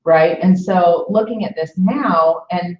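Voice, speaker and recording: A woman's voice: on the low side, slow. A woman in her early forties chatting casually and reflectively as a webinar host, feeling her way through phrases and checking for agreement. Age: early 40s. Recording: distant, echoey, muffled webinar audio.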